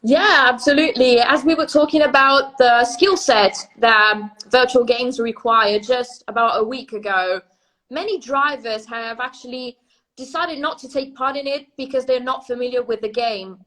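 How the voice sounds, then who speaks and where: A woman's voice: a little high, moderate pace, low-quality recording. A woman in her twenties whose first language is Italian, hosting a casual live-stream chat over a video call and laughing often. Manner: laughs often, lively and friendly. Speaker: host and chief editor.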